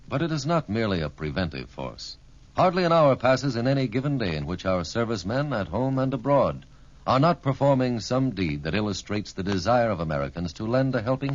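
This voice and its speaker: A man reading a scripted public service message as a radio announcer, in an earnest, solemn oratorical manner. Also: on the low side. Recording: dull vintage radio recording.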